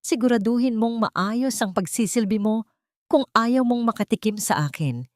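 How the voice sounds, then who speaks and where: Woman playing a bossy, scolding mother-in-law, higher pitched. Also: expressive, normal speed, no echo, clean studio sound, natural voice. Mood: threatening. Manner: commanding, scolding.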